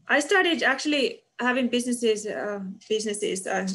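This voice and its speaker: A woman's voice: fairly high pitch, lively intonation, moderate pace, fairly bright tone. A middle-aged woman from Finland, a university professor, answering questions from the chat on an online lecture call, with many fillers. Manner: reads chat comments aloud and answers them, with fillers.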